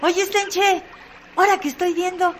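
An actress playing a mocking, gossipy village woman, shrill and taunting in a radio drama. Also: fairly even intonation, moderate pace, background noise. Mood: jeering.

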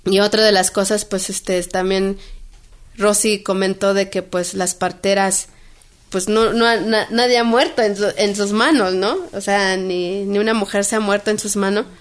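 A woman's voice: mid-range voice, fairly even intonation, normal speed. A woman, a midwife, relaying a colleague's words in an interview, hesitant and full of fillers. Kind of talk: interview answer.

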